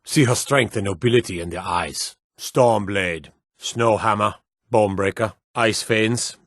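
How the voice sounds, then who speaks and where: Stern fantasy war soldier in a game. Husky edge, dry close sound, formal commanding phrasing. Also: male voice, on the low side, a little slow, a bit bright, no room sound. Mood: solemn, rousing. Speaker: video game voice actor. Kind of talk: scripted video game voice acting.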